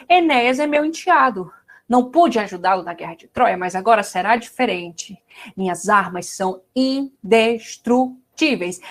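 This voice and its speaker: A woman's voice: mid-range voice, natural voice. A woman playing a proud, resentful male smith god, emphatic and defiant, in a mythological comedy audio drama.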